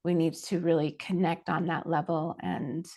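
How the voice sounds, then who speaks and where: A woman's voice: deep voice, little pitch movement, moderate pace, a little mellow. A woman giving conversational advice as a panel guest and coach, in an earnest, reflective way.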